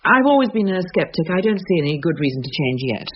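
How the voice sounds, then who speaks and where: A curious, sceptical dinner guest in a radio thriller, with strongly varied intonation. The old recording sounds dull and slightly hissy. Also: female voice, on the low side, very animated, quick pace. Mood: composed, sceptical. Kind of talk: radio drama acting.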